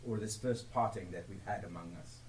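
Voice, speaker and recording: An actor playing a tender, earnest, grieving family father on stage, with an emotional, drawn-out delivery. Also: low voice, a little mellow, far from the mic, natural voice. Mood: tender grief. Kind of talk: theatrical live stage acting. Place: theatre stage. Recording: echoey, noisy live stage recording from afar.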